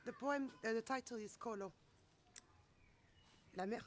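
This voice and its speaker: A woman reading poetry aloud at a live event in a measured, careful way. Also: a little high, slight background noise. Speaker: poetry reader and translator. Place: outdoors at a gallery event.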